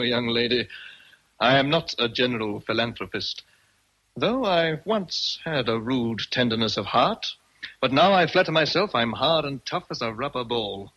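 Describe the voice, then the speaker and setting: An actor playing an imperious, teasing English gentleman with commanding, formal speech in a radio drama. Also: mid-range voice, lively intonation, unhurried, long pauses, husky, exaggerated voice, poor audio. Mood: wry, expansive. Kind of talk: vintage radio drama acting.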